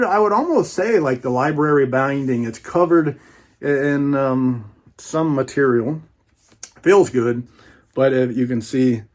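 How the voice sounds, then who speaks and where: A man's voice: medium pitch, a little mellow. A man chatting casually through an unboxing as a book collector, in a folksy, rambling, informal way.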